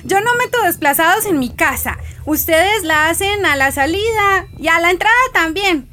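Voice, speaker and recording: Shrill, scornful landlady. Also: lively intonation, moderate pace, pushed and bright, a little hiss or hum, heavily acted. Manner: curt, dismissive. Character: hostile, stingy, scornful landlady. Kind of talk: radio drama acting.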